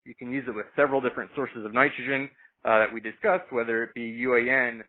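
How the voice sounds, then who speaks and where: Man explaining on a muffled call line. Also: medium pitch. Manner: halting, stop-start explaining with repeated words. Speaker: agronomy products specialist. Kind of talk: informal technical explanation on a conference call. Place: conference call. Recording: muffled, band-limited conference call line.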